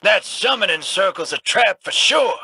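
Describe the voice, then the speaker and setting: An actor voicing a wily, scruffy male gambler in a video game, drawling folksy wisecracks in a husky voice. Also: high-pitched, lively intonation, normal speed, bright, forceful tone, exaggerated voice. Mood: sly.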